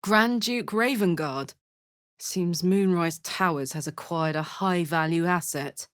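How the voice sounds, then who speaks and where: A woman playing a big, horned hellspawn warrior in a video game, brash and casual, warm-hearted, playful and hot-tempered. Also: on the low side, takes it slowly, no room sound, clean studio sound. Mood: breezy, matter-of-fact. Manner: brash, casual, emotionally open. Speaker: video game character voice actor. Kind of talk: expressive video game voice acting.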